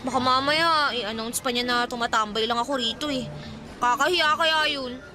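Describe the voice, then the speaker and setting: A woman's voice: sits high, moderate pace, sharp tone. A woman playing a shy, old-fashioned fifteen-year-old provincial girl in a radio drama, grumbling and guarded. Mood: wary, curt. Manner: grumbling, muttering to herself. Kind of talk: Tagalog radio drama acting. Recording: background music, busy outdoor crowd and traffic sounds.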